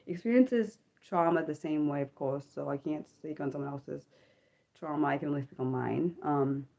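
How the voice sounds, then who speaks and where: A woman in her thirties talks slowly through a personal livestream from home, her voice low and mellow. She pauses often, fills gaps with ums and keeps correcting herself with small apologies. Her voice has a slightly sick edge. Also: gravelly. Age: 30s. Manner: halting, many ums and apologetic self-corrections. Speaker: livestream host. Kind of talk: personal reflective livestream talk.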